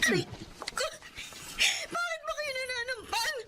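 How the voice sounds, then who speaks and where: A woman's voice: very high-pitched, lively intonation, unhurried, background noise. A woman acting a lovestruck, rebellious student daughter in a radio drama, with a Filipino accent and a whiny, petulant delivery. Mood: sulky, defiant.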